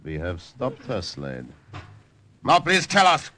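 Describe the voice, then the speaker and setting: A man's voice: mid-range voice, wildly swooping pitch, slow, pushed and bright, heavily acted. A male actor playing a cold, mock-polite Gestapo agent in an old radio drama, on a noisy recording. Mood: cold menace. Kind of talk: 1940s radio drama acting.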